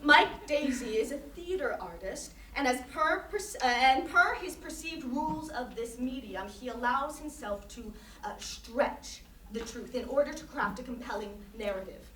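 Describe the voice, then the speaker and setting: Pompous female artist, staged-reading monologue, emphatic lecturing, bright voice, echoey noisy room. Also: high voice, expressive, normal speed. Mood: lofty, lecturing. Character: female conceptual artist, grandiose, earnest, pretentious. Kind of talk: theatrical monologue in interview form. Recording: roomy, distant microphone, noisy hall.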